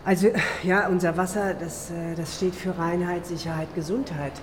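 Woman playing an evasive company rep, lowish voice, echoey noisy hall. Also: steady tone, moderate pace, close to an everyday voice. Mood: cool, evasive. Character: polished, evasive female corporate spokeswoman. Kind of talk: modern German radio drama acting. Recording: echoey hall, clear background noise.

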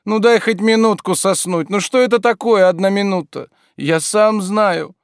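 A man voicing a drowsy, peevish gentleman master in a literary story, pompous and grumbling, imperious yet whining to be left asleep. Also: high-pitched, normal speed, clear, resonant tone, no echo, clean studio sound, pitched above the performer's own voice. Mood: pleading, whining.

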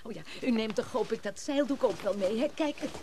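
A woman's voice: on the high side, fairly quick, noisy background, lightly acted. An actress playing a thrifty, wary middle-aged woman in a naturalistic radio drama, chatty and dismissive. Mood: frank, homely. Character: thrifty, wary middle-aged woman selling her late uncle's old instrument.

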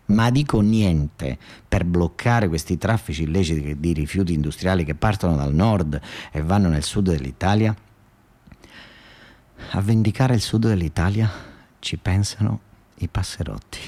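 Low-voiced southern Italian man telling stories. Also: mellow. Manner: emphatic, halting and repetitive, colloquial. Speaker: monologue actor and storyteller. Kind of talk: theatrical narrative monologue, colloquial radio storytelling.

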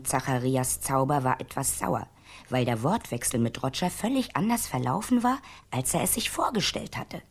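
A woman's voice: extremely low pitch, animated, normal speed, husky, exaggerated voice. A woman narrating a radio play as a wry storyteller, reading from a script.

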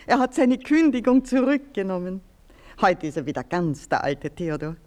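A woman's voice: mid-range voice, big pitch swings, normal speed, mellow, lightly acted. A woman playing a genteel, chatty lady of the house in a radio play.

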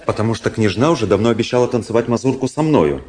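An actor playing a cool, courtly young officer in a radio play, speaking with measured, polished politeness. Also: male voice, mid-range voice, animated, a little mellow, close to an everyday voice. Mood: suave, courteous. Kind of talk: radio play narration and dialogue.

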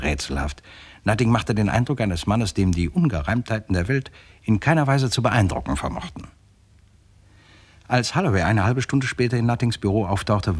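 An actor playing a genial, curious gentleman who narrates a 1960s German crime radio play in a wry, leisurely way with a husky voice. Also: low voice, heavily acted.

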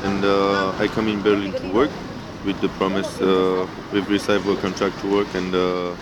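A low-voiced Romanian man speaks halting English with a Romanian accent, full of pauses and fillers. He is recorded outdoors by a city street, with clear traffic noise behind him. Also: completely flat delivery. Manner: hesitant, many pauses and fillers. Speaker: construction worker, interviewee. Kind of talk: halting answers in non-native English.

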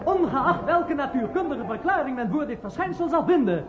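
Pontificating MP, old radio drama: declamatory, indignant, high voice, echoey and slightly dull. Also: male voice, big pitch swings, moderate pace, background noise. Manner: declamatory, pompous. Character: indignant, pontificating male member of parliament. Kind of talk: radio play oratory. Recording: echoing hall, loud crowd, dull sound.

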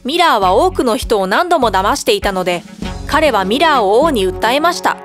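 A woman narrates a bedtime fairy tale with expressive storytelling. Her voice is high and lively, rising and falling freely. Clear music plays behind her. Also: normal speed. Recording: background music.

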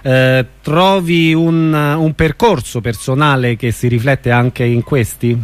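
A man interviewing a guest on the radio, informal and a little halting as he phrases his questions. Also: medium pitch, clean tone, no echo.

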